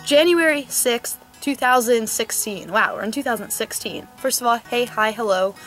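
A woman's voice: fairly high pitch, fairly bright tone, faint music behind, poor audio. A nineteen-year-old woman talking casually and earnestly to the camera, filmed outdoors in a cold, sunny yard with a camera mic. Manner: chatty, earnest, quick asides. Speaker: vlogger. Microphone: camera mic, medium shot.

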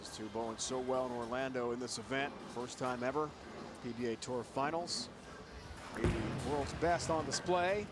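A man's voice: medium pitch, moderate pace. A man calling bowling shots as a play-by-play commentator, in clipped, terse phrases. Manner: clipped, terse calls of each shot. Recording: TV broadcast with arena noise behind.

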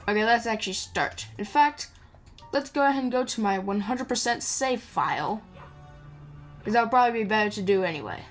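Female gamer for a casual playthrough: playful, chatty, unscripted, mid-pitched, game music underneath. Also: animated, a little fast. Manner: chatty, playful, mumbles asides to the game character. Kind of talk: casual improvised gameplay commentary. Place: indoor voice-over recorded over game audio. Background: video game music.

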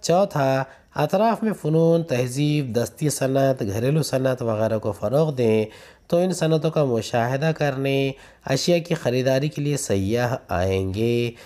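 A man's voice: medium pitch, unhurried, mellow. A man, a school teacher reading textbook questions and answers aloud in an instructional way, point by point. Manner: instructional, announcing numbered points.

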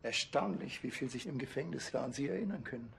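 An actor playing a sly, unflappable police officer with a Bavarian accent and a deadpan manner in a TV drama. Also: male voice, medium pitch, quick pace, creaky, noisy background. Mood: smug, accusing.

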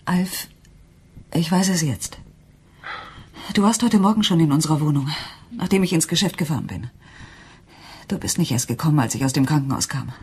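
An actress playing a distraught, quietly accusing wife in a German radio crime drama, halting and intense, often dropping toward a whisper. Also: female voice, low-pitched, expressive, slightly rough, exaggerated voice.

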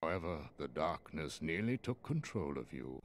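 Very deep, stern old wizard. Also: male voice, very animated, a little hiss or hum. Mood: stern, grave. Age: older. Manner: formal, measured, grave. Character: stern, wise old wizard master in robes. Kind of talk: video game cutscene acting.

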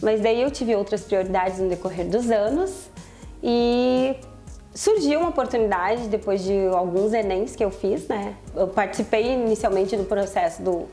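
A woman from southern Brazil, a journalism student speaking relaxed in an interview. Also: medium pitch, moderate pace, faint music behind. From Porto Alegre, Brazil. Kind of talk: interview testimony.

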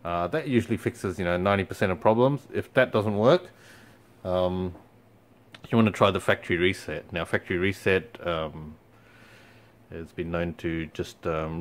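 A young man talking through a product how-to, easygoing and a little halting, full of ums and you-knows. His voice sits on the lower side. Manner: relaxed, many fillers. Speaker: company product presenter. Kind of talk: casual how-to walkthrough. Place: indoors in front of a TV screen.

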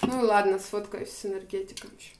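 A woman's voice: mid-range voice, fairly even intonation, fast, background noise. A young woman with a Ukrainian accent chatting casually to her phone camera about her shopping, rambling and laughing easily. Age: young adult. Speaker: vlogger. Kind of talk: casual shopping-haul chat. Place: small room indoors by a window. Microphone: phone camera at arm's length. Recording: echoey room.

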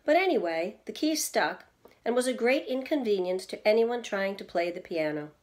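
A middle-aged woman reading aloud from a children's book like a teacher, addressing young listeners in a deliberate, explanatory way. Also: mid-range voice, animated, a little mellow. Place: indoors at home, seated in an armchair in a small room.

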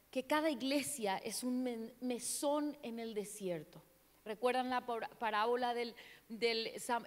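A woman's voice: high-pitched, fairly bright tone. Woman preaching in an echoing church hall. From Paraguay, Brazil border. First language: Portuguese. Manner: earnest, searching, restarts words often. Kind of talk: sermon. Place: large church stage. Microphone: handheld mic. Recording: long church hall reverb.

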